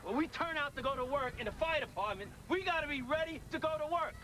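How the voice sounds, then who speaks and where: Stern football coach, very high raised voice. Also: male voice, animated, fast talker. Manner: forceful, stern. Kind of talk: team pep talk. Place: outdoors at a football field. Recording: noisy outdoor field sound.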